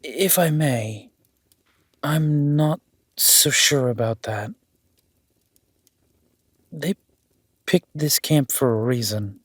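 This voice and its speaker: A man playing a cautious warrior duke in a fantasy audio drama, respectful, measured and deferential. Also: medium pitch, husky, no room sound, studio quality. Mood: strained deference.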